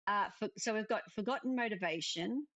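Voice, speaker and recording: A woman's voice: medium pitch, fairly quick, fairly bright tone, no room sound. A woman giving casual first-look game commentary, muttering to herself as she reads. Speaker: game player reading dialogue aloud. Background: game music.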